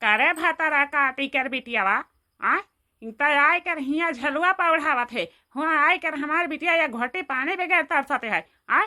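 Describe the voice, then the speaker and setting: A woman's voice: high voice, a little slow, bright, forceful tone, heavily acted. A woman voicing a cantankerous, bossy old village mother-in-law with an Awadhi accent in a comedy cartoon, nagging and scolding indignantly. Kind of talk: Hindi dialect comedy cartoon dialogue.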